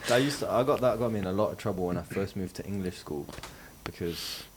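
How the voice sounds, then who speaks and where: A man from France, chatting in a lively and casual way on a podcast and swearing freely. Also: low-pitched, quick pace, slight background noise, poor audio. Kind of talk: casual group conversation.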